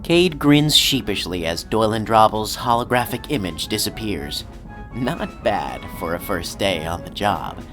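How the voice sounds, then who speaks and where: A man's voice: on the low side, expressive, unhurried. A man narrating an audio drama in a dramatic scripted style. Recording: music behind the voice. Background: music.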